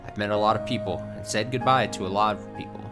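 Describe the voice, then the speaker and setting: A man with a lowish voice streams his reactions over clearly audible game music. He switches between reading dialogue aloud and casual, sweary commentary, with an incredulous manner. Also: fairly even intonation, quick pace. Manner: sweary, incredulous, often laughing. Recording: game music playing behind. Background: video game cutscene music.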